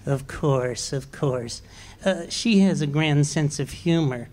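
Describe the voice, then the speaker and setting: An actor playing a meek, henpecked middle-aged husband in a live radio play, speaking softly and hesitantly. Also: male voice, a little high, slow pace. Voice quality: soft, mild and hesitant. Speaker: amateur actor.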